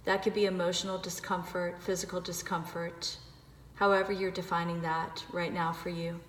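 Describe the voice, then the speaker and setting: A woman leading a guided meditation, giving gentle, unhurried instructions with pauses. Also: on the low side, little pitch movement. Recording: echoey reverberant room with background noise.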